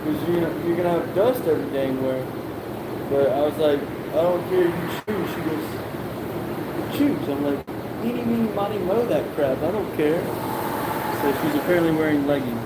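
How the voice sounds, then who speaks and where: A man hosting a casual live stream, reading viewer comments aloud in a relaxed, offhand way. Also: on the high side, moderate pace. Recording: echoey workshop with loud background noise.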